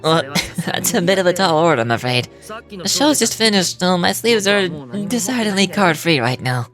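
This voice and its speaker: A woman voicing a suave, courteous young male stage magician in game dialogue, polite, gentle and theatrical. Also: fairly low pitch, very animated, moderate pace, over music. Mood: playful showman. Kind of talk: game dialogue read aloud in character.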